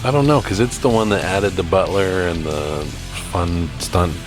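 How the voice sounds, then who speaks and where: A man's voice: deep voice, moderate pace, over music. A man co-hosting a podcast in casual banter, reacting agreeably with the odd swear word. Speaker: podcast co-host.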